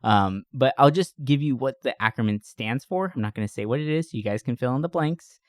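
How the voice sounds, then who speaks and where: A man's voice: mid-range voice, brisk, dry recording. Young man podcasting, very dry close sound. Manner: animated storytelling with frequent swearing and slangy asides. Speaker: podcast host. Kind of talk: casual comedic movie recap.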